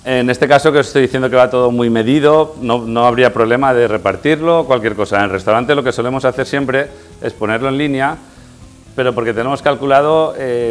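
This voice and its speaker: A middle-aged man with an accent from Spain, explaining a cooking demonstration informally and instructively as a guest chef. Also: medium pitch. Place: indoors in a tiled kitchen set.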